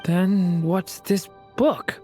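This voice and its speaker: Slow, shy, earnest boy. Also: fairly low pitch, a little mellow, faint music behind. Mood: puzzled, solemn. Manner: hesitant, polite. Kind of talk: video game character acting.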